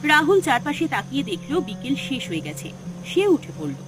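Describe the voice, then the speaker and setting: A woman's voice: high-pitched, big pitch swings, moderate pace. A woman narrating a scripted horror story in a dramatic storytelling style. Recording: music behind the voice.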